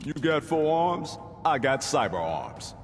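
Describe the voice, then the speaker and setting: A man's voice: on the high side, sing-song, takes it slowly, full character voice. A man playing a cocky cyborg soldier trading taunts in a fighting game. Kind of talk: video game fight banter. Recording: reverb, loud music behind.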